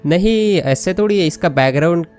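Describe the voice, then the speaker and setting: A woman's voice: on the low side, animated, rapid-fire, darkish tone, faint music behind. A woman with an Indian accent, a Hindi native, giving chatty, casual gameplay commentary as she reacts to dialogue. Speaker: gaming commentator.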